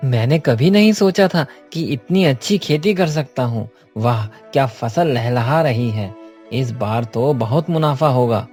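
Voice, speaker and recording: A man's voice: mid-range voice, a little slow, darkish tone, soft background music, close to an everyday voice. A man playing an earnest office worker and devoted family man in a cartoon story, explaining himself plainly. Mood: pleased.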